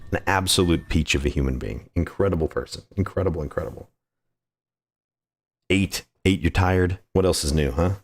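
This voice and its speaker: Very deep-voiced male livestreamer. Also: moderate pace, breathy edge, soft background music. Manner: effusive, playful, repeats phrases, goofy asides. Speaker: livestreamer playing a game and greeting chat. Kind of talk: casual livestream chat and game commentary.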